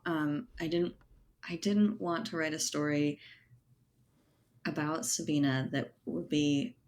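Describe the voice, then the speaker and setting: A woman with a low-pitched voice talks reflectively as an interview guest. She is thoughtful and conversational, often tagging her phrases for agreement. Some background noise sits behind her. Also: darkish tone. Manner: thoughtful, hedging, often ends phrases with right or you know. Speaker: podcast guest, writer and editor.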